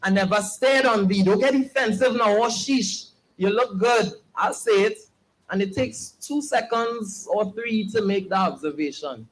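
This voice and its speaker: A young man, performing spoken word poetry with a slow, earnest, pleading delivery at an open mic. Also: sits high, rough recording. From Trinidad and Tobago.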